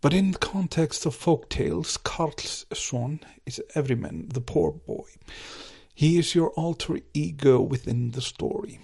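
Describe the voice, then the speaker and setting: Husky-voiced Icelandic man explaining folklore. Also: a little high, lively intonation, unhurried, a little mellow. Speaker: folklore podcast host. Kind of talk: scripted explanatory narration.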